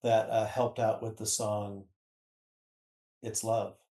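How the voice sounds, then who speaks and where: A man in his fifties chatting casually as a guest, halting and chiming in with short interjections. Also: fairly low pitch, flat delivery, mellow. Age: 50s. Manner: halting, interjecting, echoing partner's words. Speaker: guest, author's husband. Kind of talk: casual chat. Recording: echoey room.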